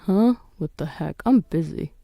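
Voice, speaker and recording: A woman's voice: on the low side, animated, unhurried, vocal fry, warm tone, no echo. A woman voicing a callous husband in a story narration, irritated, curt and dismissive.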